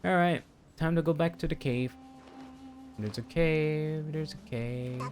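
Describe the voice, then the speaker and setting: A man's voice: on the high side, a little slow. Man muttering casual gameplay commentary over music. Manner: mutters and exclaims to himself while playing. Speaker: game streamer. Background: game music.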